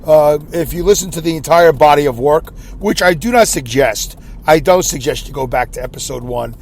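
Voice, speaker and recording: A man hosting his own podcast with a scratchy voice, rambling in a self-deprecating way and clearing his throat often. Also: a little high, normal speed, darkish tone. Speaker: podcast host. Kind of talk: solo rambling podcast monologue. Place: inside a car.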